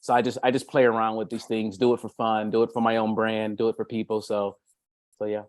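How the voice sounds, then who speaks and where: A man's voice: fairly low pitch, monotone, a little fast, no room sound. Middle-aged man rambling casually, dry sound. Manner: rambling, repeats and restarts phrases often. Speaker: B2B marketing consultant. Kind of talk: casual, unscripted self-introduction in a meeting.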